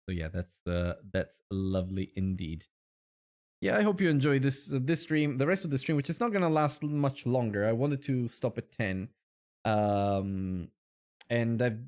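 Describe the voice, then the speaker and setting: Low-voiced Italian man chatting on stream. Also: a little mellow. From Italy. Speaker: game streamer. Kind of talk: casual livestream chat.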